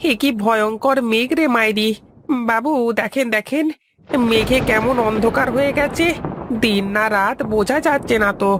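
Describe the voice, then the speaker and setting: A woman voicing a fretful, grumbling village manservant in a cartoon, with a creaky, whining, rustic delivery. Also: fairly high pitch, natural voice. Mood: alarmed. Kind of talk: Bengali cartoon voice acting. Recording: loud rushing rain-like ambient noise.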